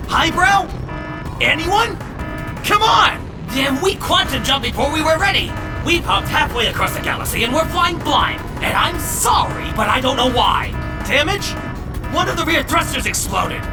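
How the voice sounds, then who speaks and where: A man's voice: extremely high pitch, distant mic, exaggerated voice. A man playing a frantic robot starship crewman in a comic dub, barking in urgent exclamations. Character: frantic, highly strung male robot spaceship crewman. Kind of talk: comic book dub acting.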